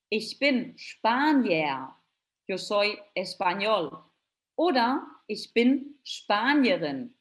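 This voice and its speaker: Woman teaching slowly. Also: medium pitch. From Germany. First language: German. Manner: slow, careful demonstrations of German phrases between Spanish explanations. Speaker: German teacher. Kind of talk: webinar lesson for beginners.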